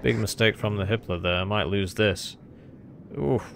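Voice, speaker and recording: A man with a low voice that crackles into fry talks his way through a strategy match. He rambles casually and puts himself down as he goes. Game noise is clearly audible behind him. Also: moderate pace. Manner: rambling, self-deprecating. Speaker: gamer commentating on own strategy match. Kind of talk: casual gameplay commentary.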